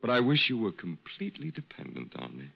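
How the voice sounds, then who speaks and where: An actor playing a courtly, sentimental middle-aged con artist who poses as a Major, in 1940s radio style. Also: male voice, on the low side, wildly swooping pitch, brisk, creaky, husky, heavily acted. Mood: courtly, wistful. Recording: muffled old-time radio recording.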